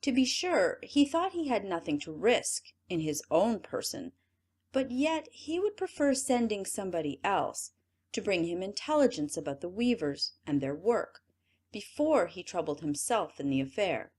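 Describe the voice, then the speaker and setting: A native English-speaking woman narrating a fairy tale audiobook in an expressive, measured way. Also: medium pitch. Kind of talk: storybook narration.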